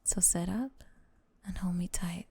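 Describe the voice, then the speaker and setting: A woman's voice: on the low side, a little slow, warm tone, husky. A woman voicing a doting, possessive snake-girl girlfriend with sweet menace, in an intimate, coaxing ASMR roleplay that often drops to a whisper. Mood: doting, controlling.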